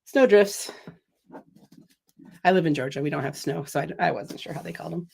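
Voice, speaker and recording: Middle-aged woman, lowish voice, chatty craft host, slightly sniffly from a cold. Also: normal speed, creaky, darkish tone, slightly rough. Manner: chatty, laughs often, reads viewer comments. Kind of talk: casual product demo chat.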